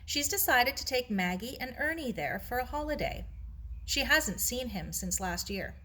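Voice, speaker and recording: A kindly, composed aunt from a storybook , voiced by a woman. She sounds affectionate and reassuring, with a bright, animated delivery. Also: fairly high pitch, moderate pace, a little hiss or hum, voice pushed up. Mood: pleased, reassuring.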